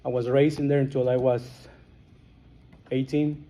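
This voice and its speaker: A man in his forties from Guatemala with a Guatemalan Spanish accent, speaking English as a second language and telling his recovery story at a meeting in a plain, halting way with many restarts. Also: mid-range voice, little pitch movement, mellow, highs cut off.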